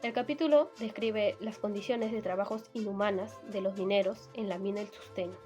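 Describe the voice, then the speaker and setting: A woman's voice: medium pitch, steady tone, brisk, dark tone, faint music behind. A woman narrator reading a scripted, explanatory summary.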